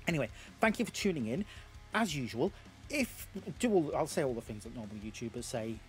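A man in his thirties with a Geordie accent, narrating a tabletop battle report at home, slightly hoarse, rambling with lots of fillers. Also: medium pitch, expressive, a little fast, faint music behind. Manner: rambling, self-deprecating, lots of filler words. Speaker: YouTube host. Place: home games room.

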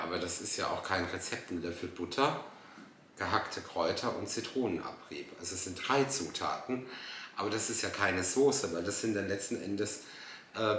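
Male reaction host, thirties, sardonic and exasperated, lower voice, some background noise. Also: pushed and bright, echoey room. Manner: exasperated, sarcastic. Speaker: host reacting to a TV dinner show. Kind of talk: casual reaction commentary.

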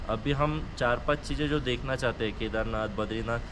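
A man's voice: mid-range voice, a little fast. A man hosting a casual travel vlog outdoors in a cold mountain valley. He talks in a chatty, joking way and slips English phrases into his speech. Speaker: travel vlogger host. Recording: outdoor noise with passing vehicles.

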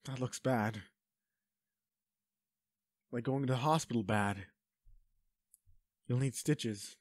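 A protective, self-loathing werewolf boyfriend acted by a man in an intimate audio drama. He speaks in a mid-pitched male voice, urgent and emotional, in a very dry recording with no room around it. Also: takes it slowly, no echo. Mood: worried, insistent. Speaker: audio roleplay voice actor. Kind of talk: intimate dramatic roleplay acting.

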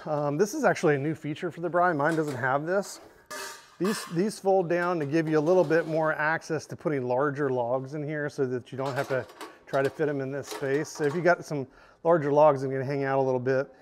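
A man's voice: fairly high pitch, fairly even intonation, fairly quick, a little hiss or hum. A man in his thirties casually walking through products in a large indoor showroom, chatty with lots of "um" and "right?". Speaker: store product presenter.

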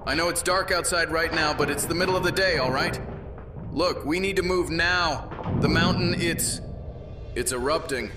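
A man's voice: on the high side, moderate pace, lightly acted. A man playing a brave, plain-spoken young Roman officer in a fantasy audio drama. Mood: urgent. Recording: echoey, distant, loud music behind.

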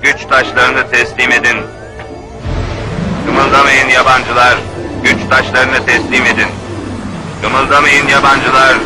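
A man's voice: mid-range voice, level tone, slow pace, pauses often, music in the background, natural voice. A man voicing an emotionless robot guard in a cartoon dub, repeating orders in a mechanical, commanding manner. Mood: cold, commanding. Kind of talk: animated cartoon dub acting.

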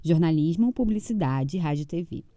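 A young woman with a mellow, warm voice reads a scripted presentation in a steady, formal way. Her pitch moves in a lively way over the lines, and the sound is dry and close. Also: normal speed, no room sound.

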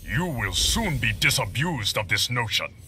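A man's voice: medium pitch, very animated, unhurried. A man playing a proud, stern, imperious warlord in a fantasy video game, speaking formally. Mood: defiant. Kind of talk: video game character acting. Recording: game ambience noise behind the voice.

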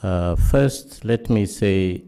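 A middle-aged man with a Sudanese accent, giving formal panel remarks in a halting, earnest way with many fillers, speaking into a table mic in a conference room. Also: low-pitched, mellow, clean tone.

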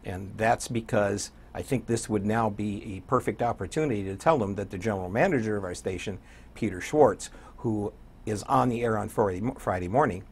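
An older American man with a husky voice, speaking as a radio DJ guest in a conversational studio interview. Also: lowish voice, brisk. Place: small indoor TV studio.

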